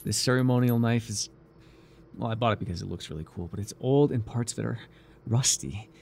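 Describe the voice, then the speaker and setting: A man voicing a devoted, nervy, lovestruck cultist in an ASMR roleplay, earnest and halting, shifting between grand and flustered delivery. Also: medium pitch, gravelly, darkish tone, a little hiss or hum, close to an everyday voice. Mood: reverent, careful. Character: devoted, awkward young male cultist, zealous, lovestruck, nervy.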